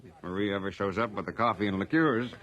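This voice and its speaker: An actor playing a genial, evasive small-town police chief in a radio drama, with a hearty, folksy manner. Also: male voice, on the low side, quick pace, a bit bright, natural voice.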